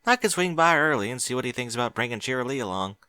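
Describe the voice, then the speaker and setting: A man's voice: medium pitch, animated, fast talker, bright, forceful tone, smooth, no room sound, studio quality. A man voicing an earnest young farm pony mare in a fan fiction audiobook, talking in a plain, folksy way. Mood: friendly.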